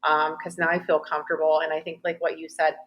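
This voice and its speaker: A woman's voice: sits low, monotone, fast, a bit bright, low-quality recording. A woman in her forties talking effusively in a casual conversation as a fitness coach. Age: 40s.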